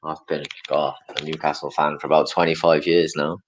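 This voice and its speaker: A man's voice: extremely low pitch, a little fast, a little hiss or hum. A man from Wales, a podcast guest in casual, rambling chat. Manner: chatty, rambling, animated impressions.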